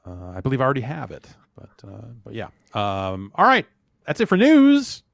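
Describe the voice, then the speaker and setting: A man's voice: mid-range voice, wildly swooping pitch, moderate pace, vocal fry, no room sound, studio quality. A man hosting a podcast in casual chat, rambling loosely with plenty of fillers and restarts. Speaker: podcast host. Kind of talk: casual chat, reading listener emails.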